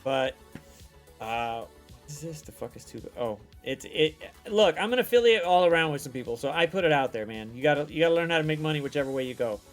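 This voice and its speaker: Male stream host, fairly high voice, excitable, profane, rambling. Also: fast talker, soft background music. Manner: excitable, swears freely, repeats himself. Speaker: streamer hosting a guest chat. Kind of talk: loose casual chat. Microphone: desk microphone.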